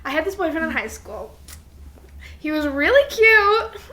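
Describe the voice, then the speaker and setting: A woman's voice: high-pitched, big pitch swings, a little slow, sharp tone. A young adult woman co-hosting a podcast, chatting candidly and playfully with a friend, giggly and casual. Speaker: podcast co-host.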